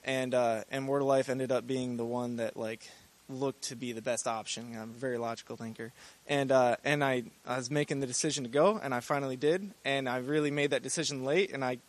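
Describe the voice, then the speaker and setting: Young man giving an earnest, halting testimony. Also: mid-range voice, fairly even intonation. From Michigan. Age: 20s. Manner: earnest, halting with many fillers. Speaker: Bible school student. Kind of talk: personal testimony.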